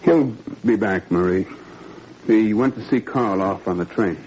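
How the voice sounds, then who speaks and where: A man's voice: on the low side, unhurried, darkish tone, natural voice. An actor playing an anguished but defiant father in a 1940s radio drama, earnest and weary. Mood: anguished, defeated. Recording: muffled, hissy 1940s radio recording.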